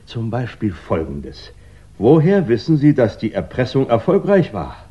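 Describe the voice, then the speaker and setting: Blustering police inspector, very animated. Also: male voice, medium pitch, a little slow. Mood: smug, insinuating. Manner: emphatic, officious, insinuating. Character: blustering, smug, accusing English police inspector. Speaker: actor in a radio crime play. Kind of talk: 1960s German radio drama acting. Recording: dull 1960s radio recording.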